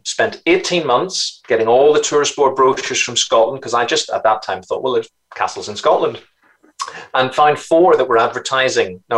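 A middle-aged man with a Northern Irish accent from Belfast, telling his business story in an interview with lively anecdotes. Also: mid-range voice, low-quality recording. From Northern Ireland. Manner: animated, anecdotal, repeats words when starting. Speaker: guest entrepreneur.

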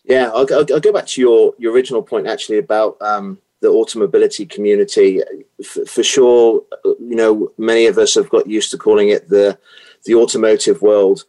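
A middle-aged man with a British accent, answering interview questions with many pauses, fillers and restarts. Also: fairly low pitch, steady tone, warm tone, poor audio. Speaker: guest, automotive consultant.

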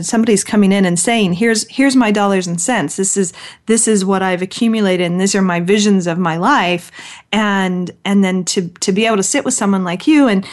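A woman hosting a casual interview show, chatty and full of restarts and fillers. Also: medium pitch. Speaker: podcast host.

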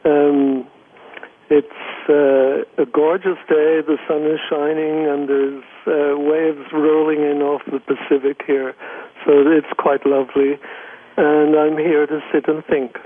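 A man, a guest giving thoughtful, halting answers in an interview. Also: on the high side, flat delivery, a little hiss or hum. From Northern Ireland. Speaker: guest, economist. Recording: tinny phone line.

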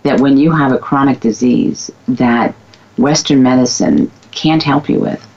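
Outspoken woman guest, husky low voice, emphatic and candid, muffled lo-fi recording. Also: measured pace. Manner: candid, emphatic, self-described loudmouth. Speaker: guest, former physical therapist. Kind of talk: casual conversation. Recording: dull lo-fi recording.

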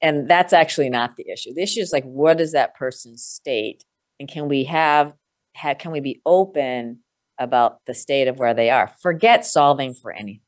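Host: woman with a deep voice, dry close-miked sound, reflective coaching tone. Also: normal speed, no echo. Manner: reflective, uses filler "like" and asks check-in questions. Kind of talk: conversational interview, coaching advice.

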